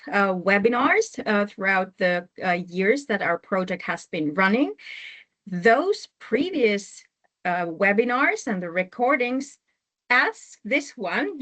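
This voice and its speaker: A woman's voice: medium pitch, fairly bright tone. A woman from Finland, a project manager, opening and hosting an online webinar, speaking unhurriedly with frequent fillers. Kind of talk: webinar introduction.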